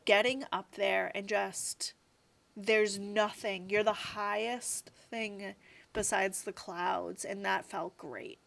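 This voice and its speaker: A woman's voice: mid-range voice, steady tone, slow pace, vocal fry, fairly bright tone. Chatty woman in her thirties. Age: 30s. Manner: chatty, rambling, fills with "like" and "you know". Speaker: guest. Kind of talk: casual interview chat.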